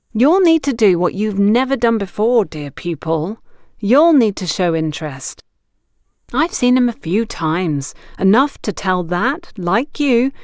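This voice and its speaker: A woman's voice: medium pitch, darkish tone, no room sound, studio quality. A woman narrator voicing a frank, teasing female confidante who gives knowing advice in an audiobook. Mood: playful, instructive. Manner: playful, knowing, instructive. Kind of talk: audiobook character dialogue.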